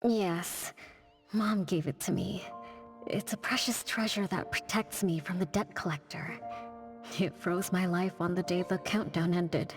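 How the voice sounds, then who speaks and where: A woman voicing a gentle, wistful noblewoman in an anime game, speaking politely and softly. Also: on the low side, steady tone, measured pace. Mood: wistful, solemn. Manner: polite, soft-spoken, reflective. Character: gentle, wistful, long-lived young noblewoman. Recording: music behind the voice.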